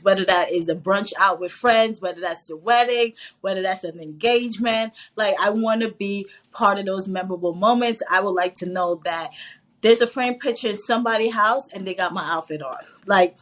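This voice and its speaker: A woman's voice: medium pitch, brisk, fairly bright tone. A woman from Guyana, a fashion designer and guest, telling her story candidly and laughing often. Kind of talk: casual interview storytelling.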